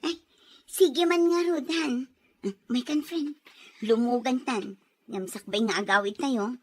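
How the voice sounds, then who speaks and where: Woman acting young working gal in an audio drama, highish voice, sincere n stubborn, emotional delivery. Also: takes it slowly, darkish tone, husky. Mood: friendly.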